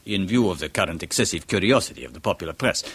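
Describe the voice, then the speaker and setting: An actor playing a grimly precise pathologist, explaining formally and deliberately in a radio horror drama. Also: male voice, sits low, lively intonation, a bit bright, slightly rough, exaggerated voice. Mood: measured, guarded. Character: French male pathologist, formal, grimly precise, self-satisfied.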